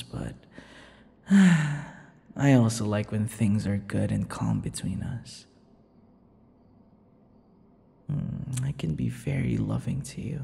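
A man voicing a possessive, centuries-old vampire in an intimate ASMR boyfriend roleplay, teasing and breathy, often dropping toward whispers. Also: lowish voice, warm tone, full character voice. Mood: coaxing. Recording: long reverberant echo.

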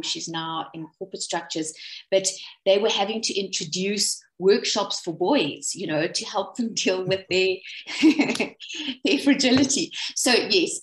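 A woman from South Africa, speaking in a relaxed, conversational way over a video call, with many restarts and ums. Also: medium pitch, low-quality recording. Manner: self-deprecating, rambling with many restarts and ums. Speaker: webinar presenter. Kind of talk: casual conversational talk.

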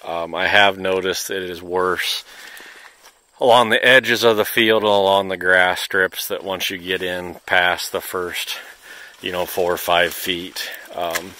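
A man's voice: low-pitched, steady tone, a little slow, bright, forceful tone, slight background noise. A man with a Midwestern American accent talks casually and unscripted as a farmer showing his field, in an understated, hedging way, recorded outdoors on a handheld camera. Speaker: farmer hosting a field video. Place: outdoors in a cornfield. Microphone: handheld camera or phone, operator behind it.

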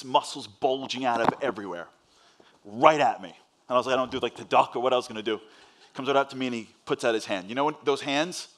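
Fast-talking New York man on stage. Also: medium pitch, fairly bright tone. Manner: fast-paced storytelling, acting out dialogue. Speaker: motivational speaker. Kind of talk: inspirational stage speech. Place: large stage at a summit.

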